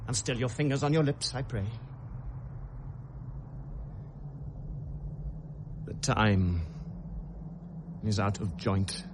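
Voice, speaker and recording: An actor playing a feverish, grieving young prince with a British accent, in a classical Shakespearean radio drama, with theatrical pauses. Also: male voice, on the low side, animated. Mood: weary, subdued. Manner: volatile, theatrical delivery with rhetorical pauses. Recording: noisy old radio drama recording.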